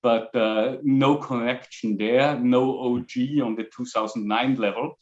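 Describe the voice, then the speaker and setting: A middle-aged man from Austria, a company founder, answering interview questions in English, often pausing on fillers, with dry humor. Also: mid-range voice, steady tone, roomy sound, muffled recording. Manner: halting, many fillers and restarts, dry humor.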